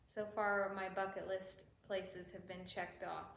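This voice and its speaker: A woman's voice: mid-range voice, flat delivery, a little slow, gravelly, fairly bright tone, a little hiss or hum. A middle-aged woman co-hosting a livestream in an upbeat, chatty way. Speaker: co-host. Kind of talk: casual livestream chat. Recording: echoey room.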